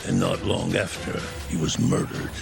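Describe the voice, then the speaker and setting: A powerful older businessman in a game drama, very gravelly and deep. He speaks in a measured, calculating manner, every phrase deliberate, with music playing clearly behind him. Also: little pitch movement. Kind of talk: dramatic video game cutscene acting. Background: dramatic background music.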